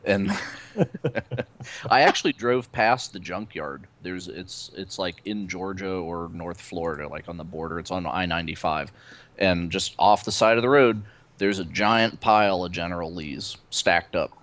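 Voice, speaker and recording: A man's voice: deep voice, measured pace, fairly bright tone, dry recording. A man wisecracking in casual chat as a podcast panelist on an online voice call. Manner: jokey, wisecracking. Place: remote online group voice call. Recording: clearly noisy voice-chat audio.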